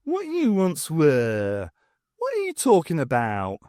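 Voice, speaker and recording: A man voicing a maniacally cheerful pantomime vizier villain in an audiobook, drawn-out and theatrical. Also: on the high side, huge pitch swings, dark tone, dry recording, studio quality, pitched above the performer's own voice, exaggerated voice. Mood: baffled. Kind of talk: audiobook character voice.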